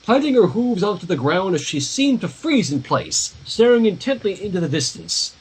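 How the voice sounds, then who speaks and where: A man's voice: high voice, sing-song, normal speed, slightly rough, low-quality recording. A man reading a story aloud informally on a livestream, dropping in casual asides. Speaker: livestream fan fiction reader.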